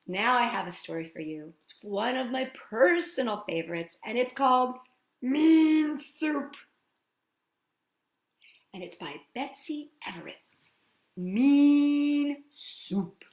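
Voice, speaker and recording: A woman hosting a children's storytime, reading and singing in a playful, expressive way with drawn-out words and chatty asides. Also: sits high, roomy sound. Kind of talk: animated picture-book read-aloud and children's singing.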